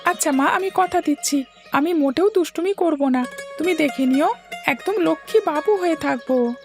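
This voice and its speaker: Cartoon schoolboy, high voice, eager and petulant, faint music underneath. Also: female voice, normal speed. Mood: happy, promising. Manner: pleading, petulant, childlike. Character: eager, sulky, chatty young schoolboy. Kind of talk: Bengali cartoon story dialogue. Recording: music behind the voice.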